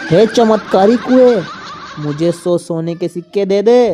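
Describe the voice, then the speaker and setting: A woman's voice: mid-range voice, measured pace, very noisy, natural voice. A woman voicing a lazy, greedy young village man in a cartoon, mocking hard work. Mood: greedy glee. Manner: mocking, gleeful. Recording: cartoon dub with music behind.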